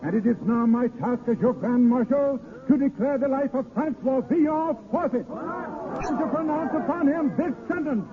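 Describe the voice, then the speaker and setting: A man's voice: extremely high pitch, moderate pace, noisy background. A man playing a gallant, defiant poet-vagabond hero in a 1930s radio drama, with florid declamatory delivery, on a tinny old broadcast. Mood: proud, proclaiming.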